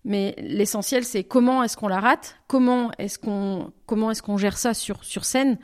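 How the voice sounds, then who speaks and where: A woman singer answering interview questions in a thoughtful way, pausing and rephrasing often as she searches for words. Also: medium pitch, fairly even intonation. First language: French. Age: over twenty. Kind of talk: reflective interview talk.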